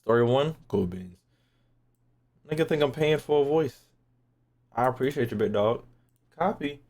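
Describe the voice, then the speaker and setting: A man's voice: medium pitch, animated, normal speed, a little mellow. A young man giving casual livestream game commentary, slangy and profane, with playful rants. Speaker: game streamer.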